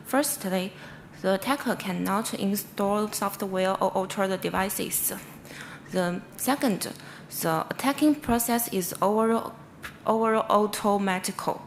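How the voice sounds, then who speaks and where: A woman with a Chinese accent delivers an academic talk at a medium pitch. Her English is halting, with stumbles and restarts as she explains like a researcher at a conference. Faint noise runs through the recording. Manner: halting, non-fluent English with stumbles and self-corrections. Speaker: researcher presenting a paper. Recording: clearly noisy presentation recording.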